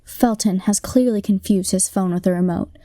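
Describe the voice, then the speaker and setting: A deadpan young woman narrator acted in a radio comedy. Her voice is mellow and recorded close and dry, with measured, matter-of-fact descriptions of the action. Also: medium pitch, dry recording, lightly acted. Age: young adult. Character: deadpan narrator describing players' moves in sports parody. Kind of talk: scripted radio play narration.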